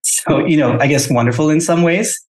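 A man's voice: fairly high pitch, normal speed, darkish tone, low-quality recording. A man from Singapore, a guest on a podcast, telling his story casually and hedging with "you know" and "sort of". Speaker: guest, agency founder.

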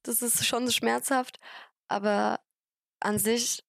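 A woman in her late teens from England, with English as her first language and a Hessian accent in her German, speaking as a relaxed, direct interview guest. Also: on the high side, slow pace, vocal fry, no echo, studio quality. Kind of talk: casual chat.

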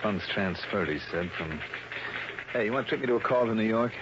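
An American man playing a brisk, assured private investigator in a vintage radio drama, with clipped, probing and authoritative delivery. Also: on the low side, expressive, heavy background noise, close to an everyday voice. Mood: brisk, confident. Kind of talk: mid-century American radio detective drama acting. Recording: vintage radio broadcast, dull, music underneath.

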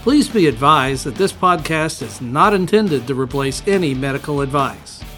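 A man's voice: on the high side, expressive, normal speed, sharp tone, soft background music. A man reading a formal, scripted podcast intro and disclaimer. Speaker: podcast announcer.

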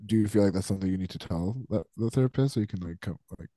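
A man with a fairly deep voice speaks as a podcast co-host. He is casual and reflective, talking personally and probing as he goes. The recording is very dry and free of room sound. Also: racing, warm tone, no room sound.

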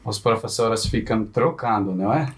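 A man co-hosts a casual interview and asks his questions a little haltingly. He often stops to search for a word, and he keeps a friendly, conversational tone. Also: lowish voice, over a noisy crowd. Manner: hesitant, searching for words. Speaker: co-host.

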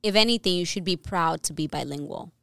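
Young adult woman, podcast co-host, earnest and chatty with lots of fillers; smooth, lowish voice, dry close mic. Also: fairly quick, a bit bright, no room sound. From New York, US. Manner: earnest, opinionated, many fillers and restarts. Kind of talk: casual conversational podcast.

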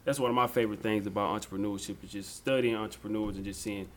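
A man's voice: on the low side, fairly even intonation, fast. A man hosting a podcast interview in a casual, chatty way, often saying "yes, sir" and using fillers like "um". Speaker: podcast host. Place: on location.

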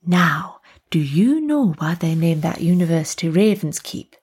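Woman voicing an eccentric, motherly village tea lady, husky. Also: on the low side, lively intonation, measured pace. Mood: storytelling, hushed. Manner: chirpy, motherly, gently questioning. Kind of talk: acted storybook dialogue.

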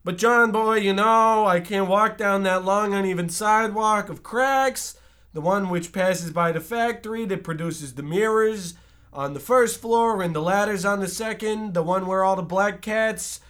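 A man as a high-voiced, innocent little brother. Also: unhurried, a bit bright, clean tone. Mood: earnest. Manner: earnest, mock-folksy. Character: naive, innocent, superstitious younger brother, a small-town boy. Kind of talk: amateur comic old-time radio acting.

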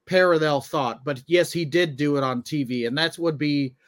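A man's voice: on the high side, normal speed, a bit bright, no room sound, studio quality. A man in his thirties, a comedian and podcast co-host, telling things in a casual conversational way. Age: 30s.

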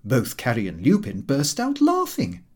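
A man narrating a comic diary audiobook in an expressive, fussy and aggrieved theatrical manner. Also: medium pitch, normal speed.

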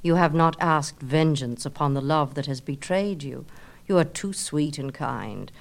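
A woman's voice: low-pitched, measured pace, vocal fry, pitched below the performer's own voice. A woman voicing a stately Greek goddess, compassionate yet vengeful, speaking in solemn decrees in a storybook.

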